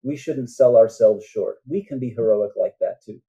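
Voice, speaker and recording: A man speaking as a guest author in an interview, in an earnest, halting manner with deliberate pauses. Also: mid-range voice, warm tone. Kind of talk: conversational interview answers. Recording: muffled, dull band-limited sound.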